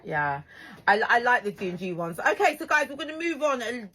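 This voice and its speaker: A woman with a raspy, breathy voice pitched a little high hosts a live radio show. She chats playfully with her guest, teasing and quick to laugh. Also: sing-song, pushed and bright. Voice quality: husky. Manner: chatty, teasing, laughs easily. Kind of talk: casual on-air chat.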